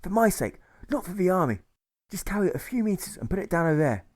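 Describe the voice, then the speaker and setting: Anguished young-hearted soldier voiced by a male narrator, high-pitched, imploring, emphatic, storybook drama. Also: sing-song, fast talker. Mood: pleading. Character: anguished, pleading soldier, faltering and aggrieved.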